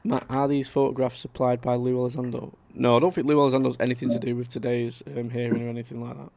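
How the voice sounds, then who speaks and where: Gravelly man chatting. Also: medium pitch, flat delivery, fast talker, a little mellow. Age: 30s. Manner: chatty, rambling, reads out viewer comments. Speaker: livestream host. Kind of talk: casual live-stream chat.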